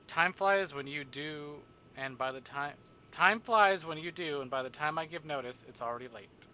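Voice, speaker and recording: Male livestreamer, highish sharp voice, dramatic narration, joking asides, visual novel read-aloud, faint background noise. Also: moderate pace, dull audio.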